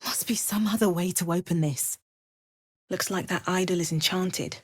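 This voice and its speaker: A woman playing an adventurous, wry and watchful spellcaster in a video game, with crisp, measured delivery. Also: fairly low pitch. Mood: watchful, musing. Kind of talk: scripted video game character lines.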